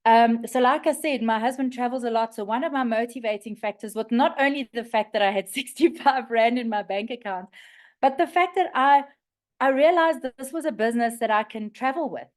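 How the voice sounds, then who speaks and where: Woman, fifties, South African accent, raspy flu-worn voice, slightly high pitch, personal testimonial. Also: brisk. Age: 50s. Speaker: community member giving a testimonial.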